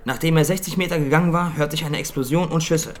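An actor playing a nervous young soldier who gives stiff, clipped reports in military jargon in a radio drama. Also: male voice, fairly high pitch, steady tone, fast talker, close to an everyday voice. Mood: stiff, reporting. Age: young adult. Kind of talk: German radio drama acting.

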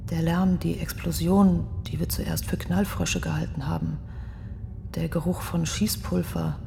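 An actress playing a young woman architect who survived a terror attack, giving halting, restrained testimony in a radio play. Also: sits low, level tone, vocal fry, background noise, exaggerated voice. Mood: shaken, restrained. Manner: halting, restrained, hushed in places. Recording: long reverberant hall, music underneath. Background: music.

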